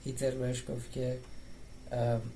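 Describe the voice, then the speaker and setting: Very fast-talking woman gamer. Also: extremely low pitch, monotone, slight background noise, poor audio. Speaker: game streamer. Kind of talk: casual gameplay commentary. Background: loud game music.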